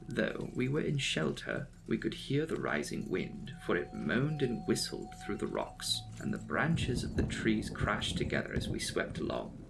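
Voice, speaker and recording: A man's voice: on the low side, huge pitch swings, unhurried, creaky, few pauses, very noisy. A man giving a dramatic audiobook reading of a gothic novel. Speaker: audiobook reader. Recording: music behind the voice. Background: background music.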